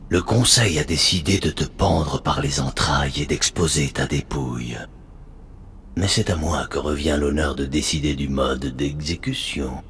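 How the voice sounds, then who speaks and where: A man playing a solemn, calculating alien high prophet in a video game, speaking in a stately, measured, authoritative manner. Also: fairly bright tone, a little hiss or hum, exaggerated voice. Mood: cold authority. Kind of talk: dramatic video game cutscene acting.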